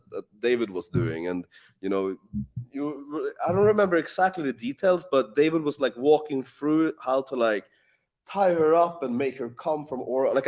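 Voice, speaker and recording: A man at a mid-range pitch answers viewer questions on a live stream. His talk is loose and profane, packed with "like" and restarts. It sounds like unscripted, offhand chat. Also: darkish tone. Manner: casual, profane, heavy filler words. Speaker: live Q&A host. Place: in Warsaw.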